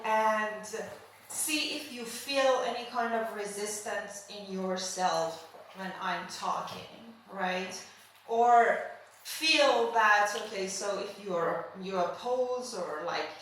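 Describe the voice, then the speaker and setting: A woman's voice: mid-range voice, fairly bright tone, slight background noise. A woman from Iran with a Persian accent, giving an earnest, emphatic conference talk that keeps checking in with "right?" and "okay?". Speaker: speaker. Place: large conference hall. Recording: echoey hall, from far off.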